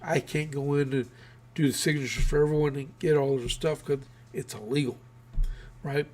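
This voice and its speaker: A man's voice: fairly high pitch, steady tone, moderate pace, a little mellow. A man from Texas hosting his own political news show, talking casually with many fillers and restarts while losing his voice.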